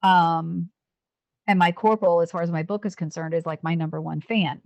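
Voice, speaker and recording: Earnest fifty-year-old woman, halting speech. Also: fairly low pitch, steady tone, vocal fry, dry recording. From United States. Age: 50s. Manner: earnest, halting, with frequent restarts. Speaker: guest, police officer and Navy veteran. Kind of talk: candid interview talk.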